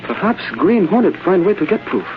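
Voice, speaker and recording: An actor playing an earnest, inquisitive valet sidekick who speaks broken English in an old radio drama. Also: male voice, high voice, big pitch swings, fairly quick. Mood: earnest, questioning. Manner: broken English with dropped words. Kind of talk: 1930s-40s radio drama acting. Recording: thin, phone-like vintage radio sound.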